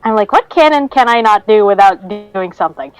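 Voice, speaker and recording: A woman's voice: mid-range voice, lively intonation, brisk, clean tone, faint music behind, rough recording. A woman playing a tabletop role-playing game, casually describing what her character does.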